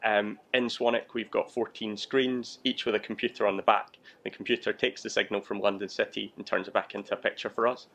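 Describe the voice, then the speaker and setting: Creaky, low-voiced man explaining his work. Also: level tone, brisk, hardly any pauses, fairly bright tone. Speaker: air traffic control watch manager.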